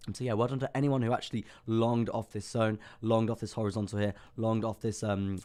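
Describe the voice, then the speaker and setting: A young man hosts a crypto livestream with a hoarse, worn-out voice, talking casually with lots of ums and restarts. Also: fairly low pitch, level tone, fairly quick, warm tone. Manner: chatty, self-deprecating, many ums and restarts. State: hoarse, lost voice. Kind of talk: casual market analysis livestream.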